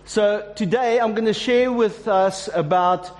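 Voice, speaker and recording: A man's voice: sits high, measured pace. A man preaching a sermon to a church congregation, with a casual, joking manner and frequent restarts. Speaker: pastor. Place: church hall. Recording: long hall reverb.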